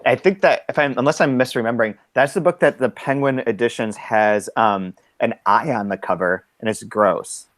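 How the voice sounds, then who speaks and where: A man's voice: medium pitch, moderate pace, few pauses, fairly bright tone, dry recording. A male podcast co-host talks about books in an eager, casual way, filling his sentences with "like." His voice sits in a middle range, and the recording is dry and close. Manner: enthusiastic, lots of "like" fillers.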